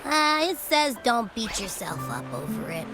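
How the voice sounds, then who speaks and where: High, swooping, cheeky game girl. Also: big pitch swings, a little slow, music in the background. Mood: playful reassurance. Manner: brash, playful, casual and exclamatory. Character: cheeky, bold, upbeat girl who speaks for a whale. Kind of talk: video game character acting.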